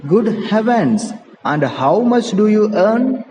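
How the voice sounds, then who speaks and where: An indignant aunt from a stage play, read by a man with an Indian English accent, exclaims and questions in disbelief. The pitch lifts a little, and the room rings with reverb over background noise. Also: very animated, slow, darkish tone, full character voice. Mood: indignant, shocked. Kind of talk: dramatic read-aloud of a play.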